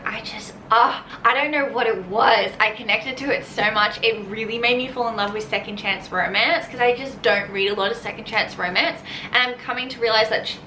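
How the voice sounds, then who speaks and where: A woman's voice: a little high, sharp tone, echoey room, a little hiss or hum, low-quality recording. An Australian woman talking casually to camera as a book vlogger, bubbly and gushing.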